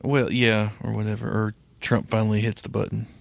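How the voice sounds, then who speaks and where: Gravelly American podcast host, a man, dry delivery. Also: lowish voice, little pitch movement, brisk, dry recording. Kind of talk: casual podcast chat.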